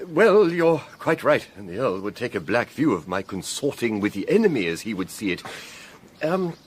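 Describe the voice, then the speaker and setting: An actor playing a nervous, kindly country parson in a Victorian radio drama, wordy and genteel, with a stammering, flustered way of talking. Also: male voice, medium pitch, very animated, moderate pace, slight background noise, lightly acted. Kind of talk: period radio drama acting.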